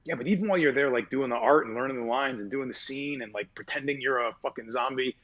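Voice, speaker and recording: Husky mid-voiced man, rambling chat. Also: medium pitch, fast, fairly bright tone, lo-fi sound. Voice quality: mid-register voice. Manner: rambling, lots of fillers and restarts, swears casually. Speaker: voice actor guest. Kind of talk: casual interview chat.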